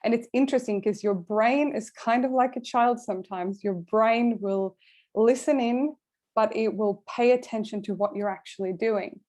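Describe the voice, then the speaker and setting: A woman's voice: a little high, steady tone, normal speed, a little mellow. A middle-aged woman from Sweden, a career coach presenting an online masterclass to a live group in an encouraging, direct way.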